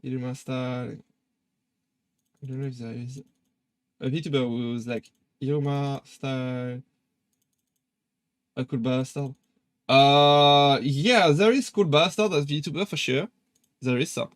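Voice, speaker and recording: A man's voice: medium pitch, steady tone, takes it slowly, long pauses, no echo. A young man with a French accent chatting casually on a live stream, hesitant with many fillers and restarts. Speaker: VTuber streamer.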